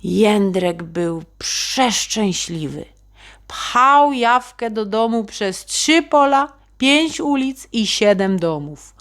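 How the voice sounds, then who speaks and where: Slow, animated woman storyteller. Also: medium pitch. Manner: dramatic, addresses young listeners. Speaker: children's storyteller. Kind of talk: expressive bedtime-story narration in Polish for children.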